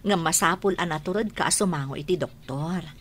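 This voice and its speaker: A woman playing a gentle, reassuring mother in an Ilocano radio drama, soothing and encouraging. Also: lowish voice, fairly quick, a little hiss or hum, close to an everyday voice.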